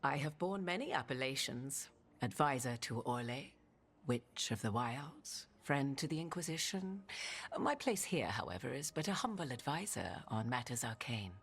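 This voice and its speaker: A woman's voice: extremely low pitch, animated, normal speed, breathy edge, full character voice. A woman playing a regal, cryptic witch and arcane advisor in a video game, with formal, archaic, theatrical speech. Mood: grave, aloof. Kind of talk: video game dramatic acting.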